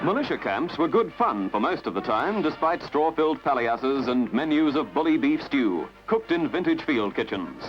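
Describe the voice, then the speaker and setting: A man narrates a scripted newsreel in a dramatic, measured, slightly wry way. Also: on the high side, big pitch swings. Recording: dull lo-fi archival film soundtrack with music. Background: prominent music.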